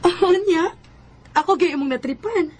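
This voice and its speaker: A woman's voice: very high-pitched, normal speed, darkish tone, a little hiss or hum. A woman acting a shy, trusting young working woman in love in a Bisaya radio drama, with a Cebuano Filipino accent. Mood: coy.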